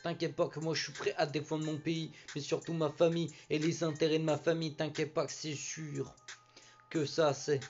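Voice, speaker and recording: A man's voice: on the high side, level tone, moderate pace, darkish tone, dull audio, soft background music. A man from Brittany rapping a rambling, repetitive, boastful freestyle over a beat. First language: French. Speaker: rapper. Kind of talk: freestyle rap.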